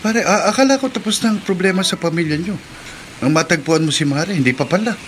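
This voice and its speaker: A man acts out a concerned, inquisitive middle-aged Filipino friend in a radio play. His voice is a bit higher than most, with slight noise behind it. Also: fast, natural voice. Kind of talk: Filipino radio drama acting.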